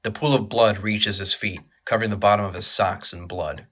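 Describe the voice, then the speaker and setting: A man narrating a scripted horror audio drama in a measured, grave manner. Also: fairly low pitch, fairly even intonation, bright, forceful tone.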